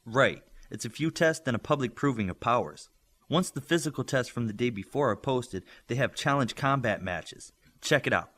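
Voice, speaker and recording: Man as chatty teenage girl, audiobook character, knowing, assertive, matter-of-fact, animated pitch. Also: moderate pace. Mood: eager, informative.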